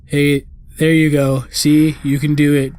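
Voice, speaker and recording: A man's voice: fairly high pitch, little pitch movement, measured pace, darkish tone, natural voice. A man voicing a small, sassy, bossy female fairy companion, reading out video game dialogue. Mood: bossy, instructing.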